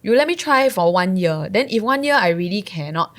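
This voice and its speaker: A woman's voice: medium pitch, expressive, brisk. A young woman with a Singaporean accent, talking earnestly and a bit dramatically about her choices. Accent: Singaporean English. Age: young adult. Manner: earnest, self-described dramatic. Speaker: guest. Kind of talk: casual podcast chat.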